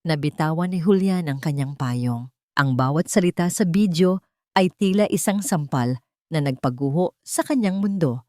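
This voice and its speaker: A woman's voice: low voice, animated, moderate pace, warm tone, dry recording, studio quality. A woman narrating a scripted audiobook drama in a dramatic storytelling style.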